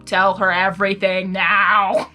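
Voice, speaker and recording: A woman's voice: mid-range voice, level tone, slow pace, pushed and bright, soft background music, pitched below the performer's own voice. A woman voicing a wise female zebra matchmaker in a storybook reading, knowing, playful and teasing.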